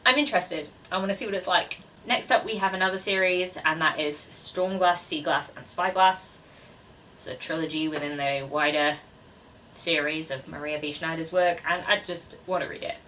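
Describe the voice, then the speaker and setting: Female vlogger, twenties, lowish pitch but bright tone, chatty and self-deprecating, recorded a little away from the mic. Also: moderate pace, far from the mic, slight background noise, low-quality recording. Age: 20s. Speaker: book vlogger. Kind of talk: casual chat to camera.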